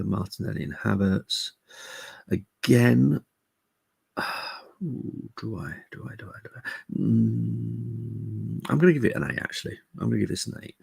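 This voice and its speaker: A middle-aged man hosting a live football preview stream, speaking quietly while chatting casually with viewers. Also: sits low, dry recording. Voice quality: gravelly. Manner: keeping voice down, casual stats chat with viewers. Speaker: fan channel host.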